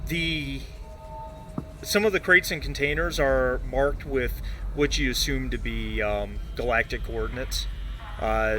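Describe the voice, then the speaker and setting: A man narrating a tabletop roleplaying game as game master, explaining things in a halting, improvised way. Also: medium pitch, a bit bright.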